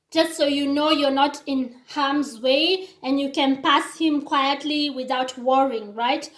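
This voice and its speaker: A woman's voice: high voice, echoey room. A woman from Kenya with a Kenyan accent, English not her first language, talking casually to her viewers in a chatty, digressive way. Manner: chatty and digressive, acts out quoted reactions. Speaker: vlogger. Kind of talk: casual talking-to-camera monologue.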